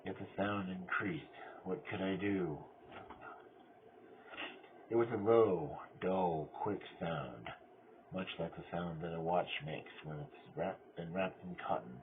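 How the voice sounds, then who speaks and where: A man playing a cunning, mad murderer who confesses in a theatrical gothic horror reading, swinging between hushed control and frenzy. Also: deep voice, slow pace. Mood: uneasy, agitated. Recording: tinny band-limited audio with clear noise.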